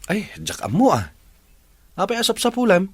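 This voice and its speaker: Frank, animated family friend. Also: male voice, sits high, normal speed, husky, dry recording, exaggerated voice. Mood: curious. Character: frank male family friend, reproachful advice-giver. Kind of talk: radio drama acting.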